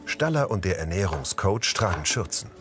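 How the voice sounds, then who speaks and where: Male narrator, low voice, varied intonation, scripted scene description, music behind. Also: sing-song, moderate pace, a bit bright.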